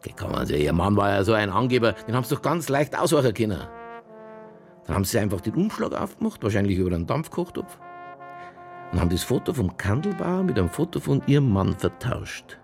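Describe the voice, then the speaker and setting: An actor playing a dogged, sardonic police detective in a radio drama, with a Bavarian accent and a gravelly voice. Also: male voice, fairly low pitch, sing-song, fast, soft background music. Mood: accusing. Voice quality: gravelly, husky. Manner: dry, needling, insistent. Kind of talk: naturalistic radio drama dialogue.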